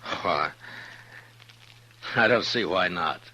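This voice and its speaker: An actor playing a steady, plain-spoken frontier marshal in a 1950s radio drama, with a measured, sober delivery. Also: male voice, low voice, full character voice. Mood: sympathetic. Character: steady, sympathetic frontier marshal recounting his travels. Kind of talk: 1950s radio drama acting, first-person narration. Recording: dull 1950s radio recording, music behind.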